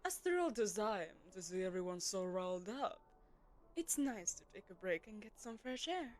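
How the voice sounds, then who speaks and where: A woman's voice: mid-range voice, very animated, moderate pace, a little mellow, natural voice. A woman voicing a poised, commanding telepathic queen who hosts a lavish gala, speaking to the listener in a silken, condescending, persuasive way. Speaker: voice actress. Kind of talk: audio roleplay, spoken to the listener.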